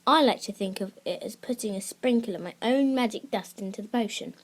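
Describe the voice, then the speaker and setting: A woman's voice: medium pitch, a little fast. A woman hosting a scripted lesson for children as a creative writing teacher, speaking in simple, encouraging terms. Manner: simple, encouraging address to young listeners. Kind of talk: scripted instructional narration for children.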